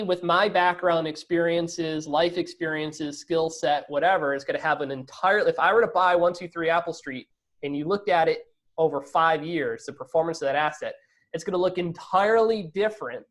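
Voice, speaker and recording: A man's voice: on the high side, brisk, fairly bright tone. A man answering as a guest investor in a conversational business interview, talking emphatically and often checking in with rhetorical questions.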